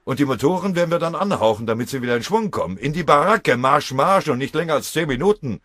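Give the listener a blustering wartime foreman, acted out by a male narrator, bossy yet fatherly. He grumbles and barks sarcastic orders in colloquial speech, with big swings of pitch. The voice is husky and closely, drily recorded. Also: big pitch swings, brisk, no room sound, studio quality, heavily acted. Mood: blustering, scolding. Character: gruff, blustering German airfield foreman, bossy but fatherly.

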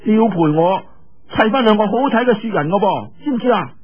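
Guy doing a high girlish young woman, playful n pouty, whiny teasing, storybook style, lo-fi recording. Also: expressive, quick pace, slightly rough. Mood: coaxing, bossy. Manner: coquettish, whining.

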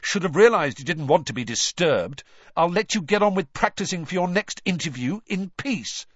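Man doing a stung awkward teen boy, sarcastic, higher voice, husky. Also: big pitch swings, moderate pace, no room sound. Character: awkward teenage boy, hurt and sulky friend. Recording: dull, dry recording.

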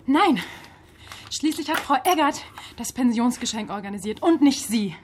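An actress playing a chatty, cheeky and loyal office assistant in a naturalistic radio drama, speaking colloquially and groping for words. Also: female voice, a little high, animated, normal speed, slight background noise, close to an everyday voice. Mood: indignant, scolding.

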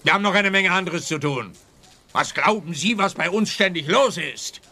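Police officer for radio comedy: brisk, dismissive, sharp higher voice, emphatic. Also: male voice, expressive, heavily acted. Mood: harried, defensive. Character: harried, defensive male police officer, dismissive. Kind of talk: scripted radio play dialogue.

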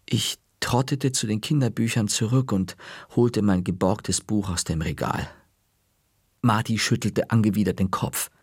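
A man narrating a children's story in an expressive, unhurried way. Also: lowish voice, breathy edge, no echo, studio quality.

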